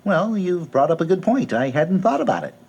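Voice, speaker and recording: An actor playing an anxious, socially awkward bookish man in an audio drama, with formal, fussy, hesitant speech and a husky tone. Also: high-pitched, big pitch swings, fairly quick, darkish tone, heavily acted. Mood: puzzled, nervous.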